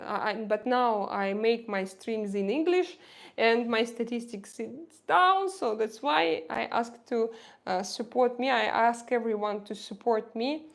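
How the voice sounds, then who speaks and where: A young woman with a Russian accent, chatting casually on a live stream and reading out viewers' messages, with many hesitant fillers. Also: fairly high pitch. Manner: halting, many "uh" fillers, reads out chat messages.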